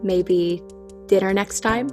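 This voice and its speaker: A woman's voice: mid-range voice, slow pace, over music, lightly acted. A woman acting in an audio drama as a flirtatious professional on a coffee date, self-assured and coy. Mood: flirtatious, curious.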